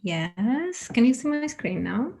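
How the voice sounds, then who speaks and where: A woman from Greece with a Greek accent gives an informal online presentation as a research fellow, in a halting, hesitant way with frequent ums. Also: fairly high pitch, big pitch swings, gravelly, a little mellow. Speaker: research fellow, invited panel speaker. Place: online video call. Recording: noisy video call audio.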